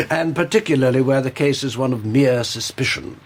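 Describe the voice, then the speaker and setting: An actor playing a brisk, good-humoured detective agency head in a radio drama. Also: male voice, medium pitch, lively intonation, slight background noise, natural voice. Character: Victorian detective agency head, middle-aged man.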